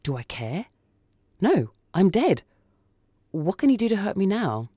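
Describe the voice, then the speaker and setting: A man acts out a killer who has found himself dead, for a radio short story. His voice is mellow and dry-recorded. He sounds bewildered and incredulous, a collected type sliding into panic. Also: sits high, big pitch swings, a little slow, husky, no room sound, voice pushed up, full character voice. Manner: incredulous, questioning.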